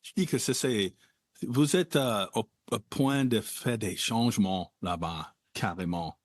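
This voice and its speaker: A man hosting a conversational interview, talking in an animated way with wide jumps in pitch. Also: takes it slowly, no room sound, studio quality. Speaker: podcast host.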